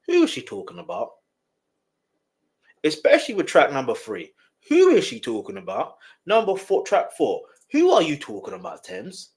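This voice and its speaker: A young man talks through a song reaction in an excitable, chatty way. His voice sits at a middle pitch and sounds a little nasal. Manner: excitable, chatty, keeps stopping the track to explain. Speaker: music reaction host. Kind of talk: casual live reaction commentary.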